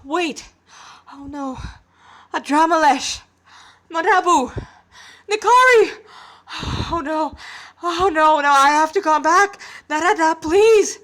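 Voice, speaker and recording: Woman playing an excitable, impulsive young boy. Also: high voice, takes it slowly, pauses often, pitched above the performer's own voice. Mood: panicked, desperate. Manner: impulsive, emotional, exclaiming. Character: excitable young boy cadet, full of wonder. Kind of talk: storybook character reading.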